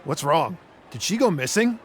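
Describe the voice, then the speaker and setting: A man's voice: a little high, sing-song, moderate pace, slight background noise. A man voicing a thoughtful, concerned working townsman in a video game, speaking casually. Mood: thoughtful, helpful.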